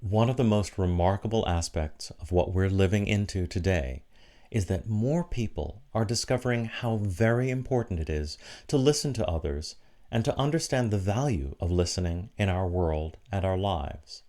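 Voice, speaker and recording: Low-voiced man giving a reflective sermon. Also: mellow. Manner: measured, deliberate phrasing with short emphatic pauses. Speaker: preacher giving a sermon for an online church service.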